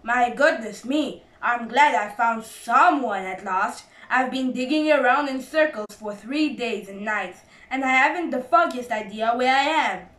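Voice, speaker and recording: Woman as excitable, desperate Badger in echoey sound. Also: a little high, measured pace, hardly any pauses, far from the mic, lightly acted. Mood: relieved, excited. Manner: exclamatory, emphatic, old-fashioned chummy phrasing. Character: Badger, excitable, desperate grown male badger father.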